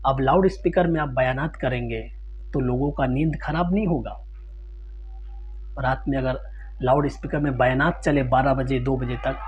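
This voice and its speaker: A man delivering an informal Islamic lecture, explaining didactically, citing sources and restating his points in simpler words. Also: medium pitch. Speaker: Islamic religious teacher answering a ruling question. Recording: dull lo-fi sound with loud hum.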